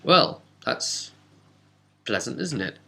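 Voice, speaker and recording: Bright-voiced man, rambling recap. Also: mid-range voice, very animated, slow pace, gravelly. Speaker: tabletop game master recapping a session. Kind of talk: casual unscripted vlog recap.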